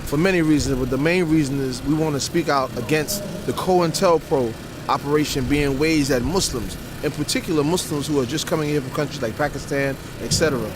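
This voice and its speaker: Fast-talking emphatic man over loud street noise. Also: fairly high pitch. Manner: emphatic, didactic. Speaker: activist speaker. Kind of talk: impromptu street speech to camera. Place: outdoors in a city square. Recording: noisy outdoor street recording with traffic.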